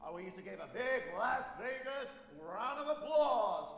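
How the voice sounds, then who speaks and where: A man's voice: very high-pitched, expressive, moderate pace, a bit bright, lo-fi sound. A man announcing an act in the grand style of a boxing ring introduction. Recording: echoey hall with long reverb.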